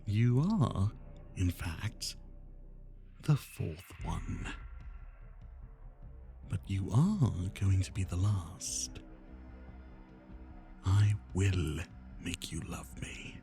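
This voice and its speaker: A man playing an obsessive, lovesick and menacing kidnapper in a roleplay ASMR monologue, with a breathy voice that often drops into whispers and theatrical, lingering emphasis. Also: low-pitched, lively intonation, extremely slow, long pauses, warm tone, faint music behind, exaggerated voice. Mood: ominous, desperate.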